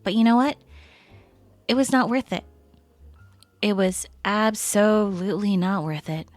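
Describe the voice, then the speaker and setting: A woman playing a chatty, teasing female best friend in casual, animated roleplay audio. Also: medium pitch, measured pace, no room sound, faint music behind, lightly acted. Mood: exasperated, chatty.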